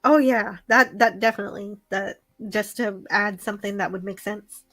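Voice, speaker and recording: A woman's voice: mid-range voice, moderate pace, a bit bright, dry recording, faint music behind. Casual woman recapping and discussing. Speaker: co-host of an online review stream.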